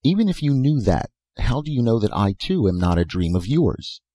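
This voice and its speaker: A man playing a skeptical, practical-minded fellow who answers in blunt, gruff retorts, in a storybook reading. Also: medium pitch, sing-song, moderate pace, warm tone, no echo, studio quality, voice pushed up.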